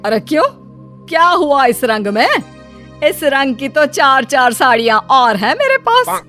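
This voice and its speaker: A woman's voice: high voice, sing-song, unhurried, sharp tone, faint music behind, exaggerated voice. A woman playing an indignant older mother-in-law who bickers and retorts in exasperation in a cartoon family drama. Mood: puzzled, defensive.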